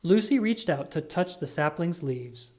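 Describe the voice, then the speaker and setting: A reader giving an expressive, scripted read-aloud of a children's picture book. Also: mid-range voice, a little slow. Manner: animated, expressive reading.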